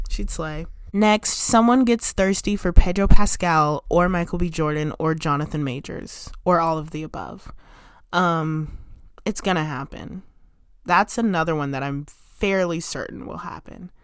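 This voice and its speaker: A young woman speaks in a casual, chatty vlog from a room at home. Her voice sits slightly low for a woman, and the recording is close and dry with no room echo. She talks expressively, with frequent "like" fillers and sudden spikes of emphasis. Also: a bit bright. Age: young adult. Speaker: vlogger.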